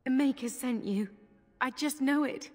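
A woman's voice: high voice, unhurried, a little mellow. A woman playing a humble, grateful young village woman in a video game, speaking hesitantly and earnestly. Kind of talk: video game character dialogue. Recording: long reverb, music underneath.

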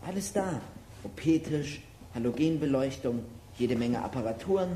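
A performer playing an articulate, excitable and slightly anxious boy detective in a children's audio drama. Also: mid-range voice, moderate pace, a little hiss or hum, natural voice. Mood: curious.

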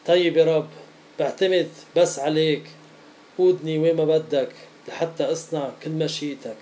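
Casting: male lay preacher, Levantine accent, warm dark tone, somewhat higher register, informal devotional teaching. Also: fairly even intonation, fairly quick, slight background noise. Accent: Levantine Arabic.

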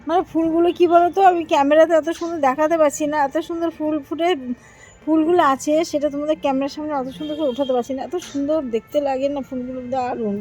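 High-pitched woman vlogger. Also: steady tone, quick pace, a little mellow. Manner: effusive, repetitive, showing things to viewers. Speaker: vlogger and host of own page. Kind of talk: casual home garden vlog, chatting to viewers. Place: outdoors in a home garden.

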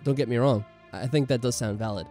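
A man's voice: mid-range voice, quick pace, dark tone, faint music behind. A man hosting a gaming video in casual chat, rambling and a little self-deprecating. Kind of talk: casual chat over a game playthrough.

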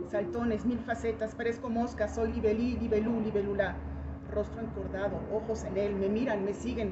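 A woman performing a dramatic poetic monologue in short fragmented phrases, with intense, incantatory theatrical delivery. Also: medium pitch. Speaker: poetry performer. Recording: dull lo-fi recording with loud noise.

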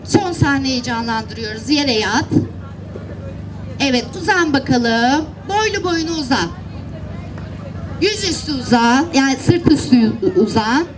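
High-voiced woman telling children a story, unhurried. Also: poor audio. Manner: repeats phrases, coaxing children with simple instructions. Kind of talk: animated storytelling and directing children in acting out a tale. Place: outdoor seaside children's event. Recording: live event, faint crowd and noise. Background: faint crowd and ambient noise.

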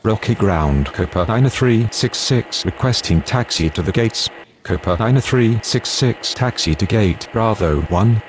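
A man's voice: on the low side, lively intonation, a little slow. A man speaking scripted air traffic radio phraseology for a control game.

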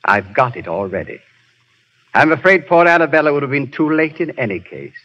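An actor in a 1930s radio play voicing a suave, sinister male professor who runs a spy ring, with a smooth, menacing manner. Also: mid-range voice, big pitch swings, brisk, bright, forceful tone. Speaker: villain. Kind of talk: 1930s radio drama acting. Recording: dull 1930s radio broadcast.

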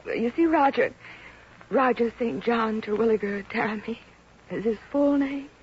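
A woman acts a fretful, bookish young spinster in an old radio comedy. Her voice is high, earnest and melodramatically lovelorn. The vintage recording is muffled with a faint hiss. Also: unhurried, lightly acted. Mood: earnest, confiding. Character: plain, lovelorn, bookish small-town spinster of twenty-three, woebegone. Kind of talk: 1950s American radio comedy acting. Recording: dull, hissy 1950s radio recording.

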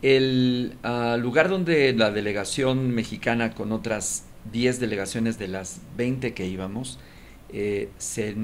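A man's voice: lowish voice, a little hiss or hum. A middle-aged man hosting a studio talk show, eagerly chiming in and turning formal when he presents. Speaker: TV host. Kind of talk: casual studio conversation. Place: small TV studio.

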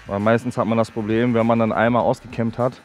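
A barber in his thirties talks casually through his technique while he works, his pitch in the middle for a man. Music and buzzing clippers run behind him. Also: flat delivery, fast talker, darkish tone. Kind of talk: casual explanation while working. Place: large indoor sports hall. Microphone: headset.

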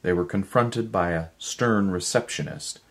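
Middle aged guy reading a story out loud, super deep voice, real slow. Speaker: audiobook narrator, voice actor. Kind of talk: dramatic scripted story narration. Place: indoors, living room.

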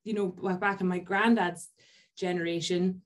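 A woman's voice: fairly low pitch, steady tone, moderate pace. A woman, a podcast co-host telling rambling stories with many fillers on a video call. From Scotland. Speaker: podcast co-host from a family bakery. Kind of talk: casual video call chat. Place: indoor office with posters. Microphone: video call webcam.

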